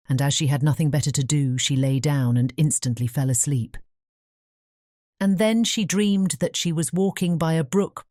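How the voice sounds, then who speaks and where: A woman's voice: deep voice, dark tone, dry recording, studio quality. A woman narrating a fairy tale in a scripted bedtime audiobook style.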